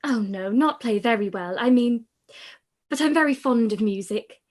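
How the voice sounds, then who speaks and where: A woman playing a shy, earnest young gentlewoman in a period radio play, speaking politely and modestly. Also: a little high, normal speed, natural voice. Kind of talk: live-read period radio play acting.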